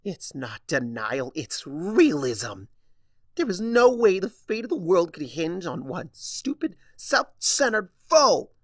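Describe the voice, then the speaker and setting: Man playing a sneering, lively pony villainess. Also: high voice, slow, no echo, clean studio sound, pitched above the performer's own voice, exaggerated voice. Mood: sneering denial. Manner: sneering, defensive. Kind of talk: dramatic fan fiction audiobook reading.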